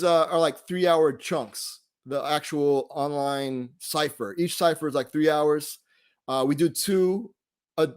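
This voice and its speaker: A man casually hosting a live online group, talking off the cuff in a rambling, laid-back way and often trailing off mid-thought. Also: a little high. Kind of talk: casual, off-the-cuff livestream talk.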